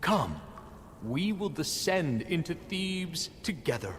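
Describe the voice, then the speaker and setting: A man's voice: a little high, sing-song, takes it slowly, fairly bright tone, big-room sound, a little hiss or hum, heavily acted. An actor playing a grandiose, self-deluded male leader in a video game, speaking in pompous, theatrical declarations. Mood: grandiose, fervent. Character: grandiose, self-deluded male leader who believes himself a reborn ancestor. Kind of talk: video game cutscene acting.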